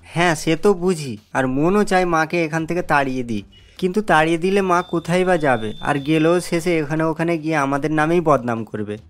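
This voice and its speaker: A man's voice: fairly high pitch, a little fast, natural voice. A man plays an irritable cartoon husband and son. He scolds in a curt, impatient way over faint background music. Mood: grumbling. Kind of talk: cartoon story voice acting.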